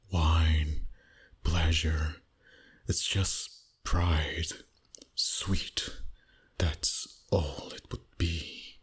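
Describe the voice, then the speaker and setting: A man playing a suave, dominant, flirty vampire boss in an intimate ASMR roleplay, breathy and often near a whisper, in a teasing manner. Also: rumbling low, exaggerated voice. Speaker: voice actor.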